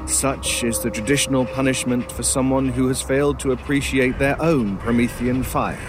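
A man's voice: mid-range voice, steady tone, moderate pace, background noise, close to an everyday voice. A man playing a sinister talking crow-like scavenger in an audio horror drama, formal, erudite and drily mocking. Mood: cold, mocking.

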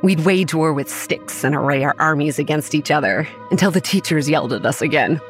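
An actress playing a self-assured young woman who manages a campground, narrating a horror audio drama in a measured, matter-of-fact way. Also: deep voice, soft background music, close to an everyday voice. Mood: measured, reminiscing. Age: young adult. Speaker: narrator and lead character. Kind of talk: scripted first-person horror drama narration.

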